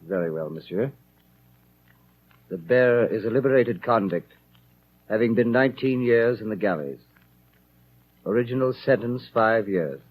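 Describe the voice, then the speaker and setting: An unafraid, kindly bishop speaks low and husky, with measured courtesy. It is vintage 1930s radio acting on a dull, muffled recording. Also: male voice, lively intonation. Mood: calm, courteous. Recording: muffled old radio broadcast.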